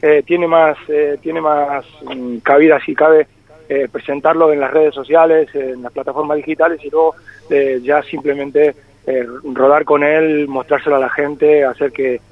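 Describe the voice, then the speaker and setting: A man's voice: on the high side, flat delivery, normal speed, no room sound. Man in his forties on a tinny phone line. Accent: slightly Argentine-tinged Spanish. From born in Spain. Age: 40s. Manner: reflective, many hesitations and repeated words. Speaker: singer-songwriter guest. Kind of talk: phone interview. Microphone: telephone.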